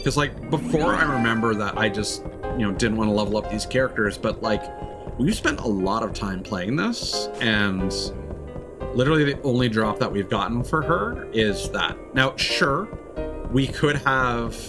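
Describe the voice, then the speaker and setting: A man's voice: mid-range voice, very animated, normal speed. A man streaming a game in casual chat, reasoning things through aloud in an analytical way. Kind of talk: casual gameplay commentary chat. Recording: game music behind the voice. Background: video game music.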